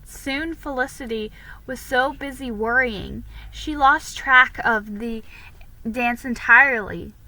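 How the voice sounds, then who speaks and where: A woman's voice: on the high side, slow, bright, forceful tone, low-quality recording. A woman giving a dramatic reading of a children's historical story, stumbling and restarting here and there. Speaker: reader.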